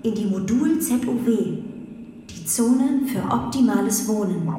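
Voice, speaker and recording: A woman playing a formal, aloof artificial intelligence in a radio play, speaking in measured announcements. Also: on the high side, expressive, mellow. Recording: very echoey reverberant hall, faint noise.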